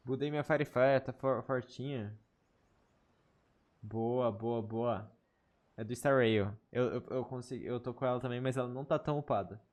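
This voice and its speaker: A man's voice: mid-range voice, a little fast, a little mellow. A man with a Brazilian accent, a native Portuguese speaker, chatting casually as a game streamer and often repeating words. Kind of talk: casual live stream chat. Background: game music.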